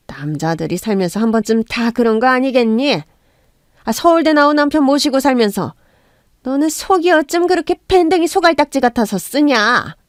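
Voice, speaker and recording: A shrieking, scornful older mother-in-law who sneers and hurls insults. Her voice rides high and sharp, put on by a woman. The sound is close and dry. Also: no room sound. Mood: condescending.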